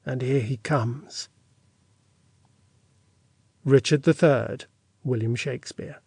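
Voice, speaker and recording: A man reading an audiobook in a measured, formal and theatrical way, as a scripted literary narration. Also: mid-range voice, steady tone, a little mellow, breathy edge, no echo.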